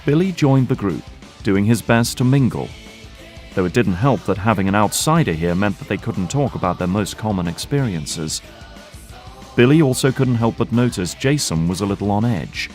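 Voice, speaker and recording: A man's voice: on the low side, expressive, moderate pace, darkish tone, soft background music. A man reading scripted narration as the narrator of an audio drama.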